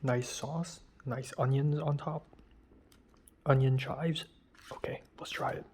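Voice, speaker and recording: A young man talks casually to his camera, chatty and unhurried. His voice is a bit raspy after a long phone call, sitting at an average pitch. A faint hiss runs under the recording. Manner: relaxed, chatty, drifting between soft murmurs and louder remarks. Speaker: vlogger. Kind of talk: casual food-tasting vlog chat.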